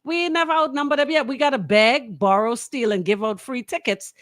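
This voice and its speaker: A woman with a Bahamian accent hosting a casual radio talk show, animated, opinionated and quick to question. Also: fairly high pitch, dry recording, studio quality. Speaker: radio host.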